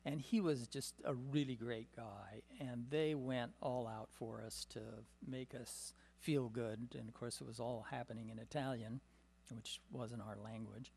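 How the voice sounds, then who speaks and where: Older man with a gravelly voice, reminiscing. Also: mid-range voice, steady tone, measured pace, a little mellow. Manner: storytelling with many "uh" and "um" fillers, chuckling. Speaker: symposium panelist. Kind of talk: rambling personal reminiscence. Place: meeting room, seated at a table. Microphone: desk microphone on a stand.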